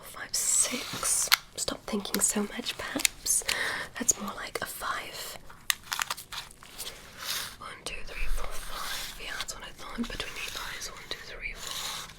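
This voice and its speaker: Softly whispering woman, ASMR alien exam. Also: fairly high pitch, rough recording. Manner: soft unvoiced whisper, slow careful counting; playful, teasing bedside manner. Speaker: ASMR creator.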